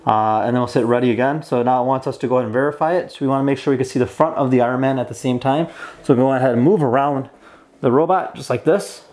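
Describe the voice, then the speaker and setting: A man's voice: medium pitch, quick pace, a little mellow. A man in his twenties giving a casual hands-on product demo in an easygoing, mildly enthusiastic way. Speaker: host of a toy review channel. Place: plain indoor room at a table.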